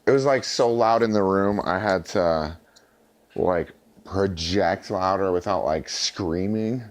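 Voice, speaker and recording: A man with a hoarse, strained voice, chatting casually and haltingly between songs. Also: low-pitched. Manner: offhand, halting talk. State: hoarse, voice partly gone from strain.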